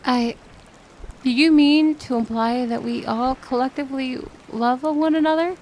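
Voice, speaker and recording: A woman's voice: sits high, steady tone, measured pace, a little mellow, a little hiss or hum. A woman voicing a shy, grumpy young mermaid in a romantic roleplay audio, protesting indignantly and stammering in denial. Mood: flustered.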